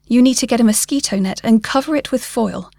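Paranoid conspiracy theorist, male, late fifties, played by a female storyteller. Gruff, hectoring, urgent; pitched up in emphasis, very dry sound. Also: brisk, warm tone, no echo. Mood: earnest, paranoid. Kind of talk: short story character dialogue.

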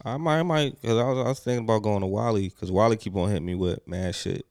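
A man's voice: fairly low pitch, quick pace, a little mellow, no room sound, studio quality. A man co-hosting a casual podcast, teasing his friend with incredulous jabs. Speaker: podcast co-host.

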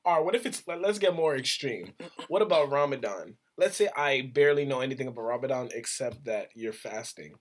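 A man's voice: medium pitch, animated, fairly quick, few pauses. Casual young man co-hosting a podcast. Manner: rambling, lays out points and hypotheticals. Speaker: podcast co-host. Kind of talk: casual chat.

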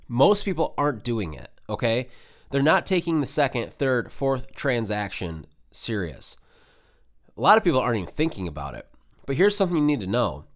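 A young man hosting his own podcast, talking casually and directly to listeners while sounding nasal from a cold. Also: mid-range voice. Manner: direct, coaching, fillers like "right?" and "okay?". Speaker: podcast host. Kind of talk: casual solo podcast talk.

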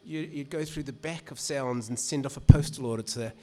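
A man's voice: medium pitch, brisk, a little mellow. Man, New Zealand accent, author at a live event, casual, rambling. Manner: chatty and rambling, many restarts and "you know". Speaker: author reading at a literary event. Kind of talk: casual talk to a live audience.

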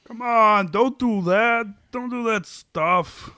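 A man's voice: high-pitched, slow pace, bright, forceful tone, smooth, no room sound, heavily acted. A man playing a whiny, placating husband and teammate in a comic sitcom table read, wry and pleading.

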